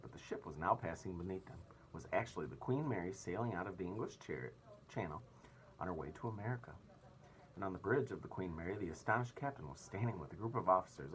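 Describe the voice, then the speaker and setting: A man narrates a children's story aloud. His voice is low and his intonation very animated and expressive. A faint layer of noise runs behind him. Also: low-quality recording.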